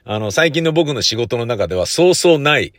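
抑揚豊かに気さくに語る男性. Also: male voice, mid-range voice, lively intonation, fairly quick, dry recording, clean studio sound. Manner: rambling, conversational, with frequent sentence-ending "ne" tags. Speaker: radio show host and producer. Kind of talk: casual solo chat.

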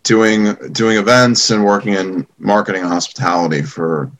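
A man's voice: lowish voice, normal speed. A middle-aged man from Long Island talking casually as a guest on a video call, easygoing and full of fillers. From Long Island, New York. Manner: relaxed, hedging with many fillers. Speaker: guest, event company founder.

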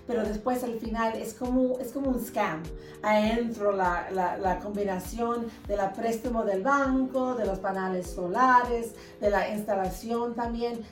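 A woman's voice: on the high side, measured pace. A woman in her fifties from the United States answering interview questions conversationally as a lawyer, dropping English words into her Spanish. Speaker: lawyer, podcast guest. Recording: echoey room, distant mic, music.

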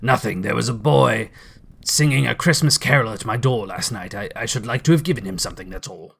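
An old miser from a storybook, played by a male narrator, gravelly and full of feeling. He exclaims in a breathless, childlike rush that hovers between laughter and tears. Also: medium pitch, fairly quick, a bit bright. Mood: wistful, regretful. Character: elderly miser Scrooge, excited and tearful like a child. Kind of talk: dramatised storybook reading.

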